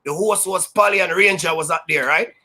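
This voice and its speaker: A Jamaican man tells his stories loudly and with a lot of energy, slipping into patois and bragging freely. His voice is high for a man and has a sharp, bright edge. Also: a little fast. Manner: loud, high-energy, boastful, patois-laced storytelling. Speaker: sound system DJ, interview guest. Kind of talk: casual storytelling interview.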